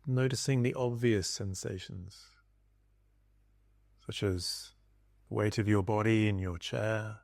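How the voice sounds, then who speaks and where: Low, creaky male meditation guide. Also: no room sound, studio quality. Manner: calm, soft-spoken instruction with long pauses. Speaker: meditation teacher. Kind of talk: guided body scan meditation.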